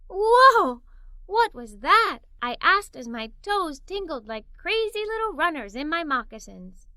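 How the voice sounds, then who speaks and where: A woman narrating a children's story in an expressive, animated read-aloud style. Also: high-pitched, dry recording. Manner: expressive, performs the characters' dialogue.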